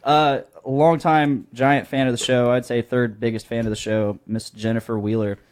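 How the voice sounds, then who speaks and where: A man's voice: mid-range voice, flat delivery, normal speed. A man in his twenties co-hosting a podcast, chatting casually and telling stories in a joking, sweary way. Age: 20s. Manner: joking, profane. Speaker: podcast co-host. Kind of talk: casual chat and storytelling.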